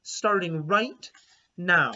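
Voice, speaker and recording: A man's voice: high voice, very slow, sharp tone, pitched above the performer's own voice, exaggerated voice. A man doing a spunky, earnest little girl. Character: spunky eight-year-old girl, earnest and stubborn. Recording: dull lo-fi recording.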